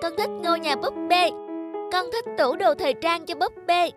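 A woman's voice: extremely high pitch, moderate pace, faint music behind. A woman voicing a sweet, affectionate seven-year-old girl in a cartoon. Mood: sweet, excited. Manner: childlike, affectionate. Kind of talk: cartoon acting.

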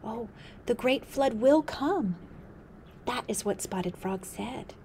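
A woman voicing a gentle, patient male river otter who speaks calmly and earnestly. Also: a little high, slow pace, a little hiss or hum, voice pushed up. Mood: steady.

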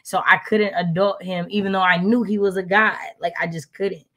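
A woman's voice: mid-range voice, steady tone, moderate pace, sharp tone. A woman in a casual book chat over a video call, informal, circling back and posing rhetorical questions. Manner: informal, repeats herself, asks rhetorical questions. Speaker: book discussion panelist. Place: indoor room, video call.

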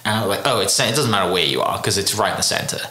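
Bright, forceful male podcast host. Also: deep voice, rapid-fire, echoey room. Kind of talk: casual chat.

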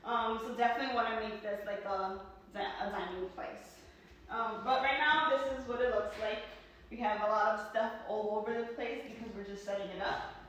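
A woman's voice: on the high side, fairly even intonation, moderate pace, fairly bright tone, distant mic, slight background noise. A woman from New York giving a casual walking tour of her new house, chatting with lots of ums and likes. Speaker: vlogger giving a home tour. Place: indoors, sparsely furnished new house. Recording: echoey bare rooms, voice off-mic.

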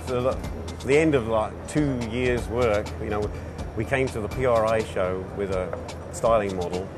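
A middle-aged man, a racing series founder, answering interview questions at a trade show in an animated, self-deprecating way. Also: fairly low pitch, measured pace. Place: busy indoor trade show hall. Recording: music bed under voice.

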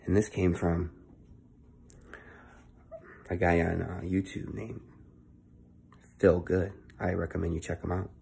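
Young man, gravelly, low-pitched, hushed home vlog, phone mic close. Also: slight background noise. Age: young adult. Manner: talking low and swearing often, earnest. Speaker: vlogger. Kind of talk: casual motivational monologue. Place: indoor room at home. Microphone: phone held close at arm's length.

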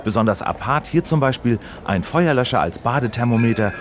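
An actor playing an earnest, probing radio reporter in a mock feature and interview. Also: male voice, medium pitch, lively intonation, brisk, background noise, lightly acted. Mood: upbeat presenting.

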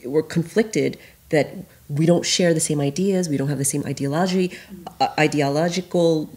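A woman's voice: deep voice, normal speed, darkish tone. A woman from South Korea on a stage panel, speaking earnestly, often restarting her sentences and laughing. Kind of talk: panel discussion. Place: stage of a concert hall, in front of an audience.

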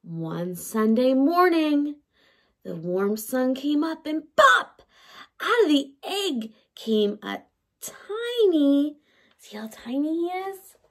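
Woman, thirties, high voice, slow careful pace, animated teacher style, children's story time. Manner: animated, addressing young children, asking questions. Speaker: early learning teacher. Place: indoors by a large window in a room at home.

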